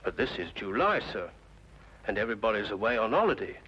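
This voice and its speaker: A man's voice: lowish voice, very animated, fast talker, husky. An actor playing a respectful, dutiful male police sergeant reporting formally in an old-time radio drama. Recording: dull old radio recording, faint noise.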